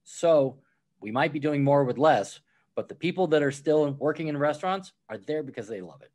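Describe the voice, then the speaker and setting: A man's voice: on the high side, animated, normal speed, a little mellow. A man who wrote a book on restaurant management, talking it through as a podcast guest and walking through examples step by step. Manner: explains with worked examples, acts out quoted replies. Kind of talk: conversational podcast interview.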